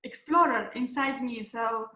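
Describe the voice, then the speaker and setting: A woman from Tunisia, a guest coach and former dentist, speaking haltingly with many fillers and restarts in a casual interview. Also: a little high, bright, forceful tone, a little hiss or hum. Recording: echoey room.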